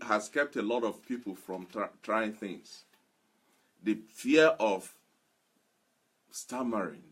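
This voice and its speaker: A man giving a motivational sermon, speaking emphatically and repeating phrases deliberately for weight. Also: on the low side, slightly rough. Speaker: motivational preacher.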